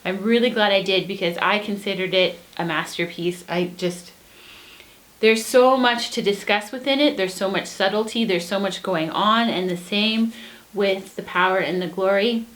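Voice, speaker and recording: A woman speaks in a medium-pitched voice as a co-host sharing book recommendations. Her delivery is casual and conversational, with an earnest, emphatic enthusiasm for what she describes. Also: normal speed, fairly bright tone.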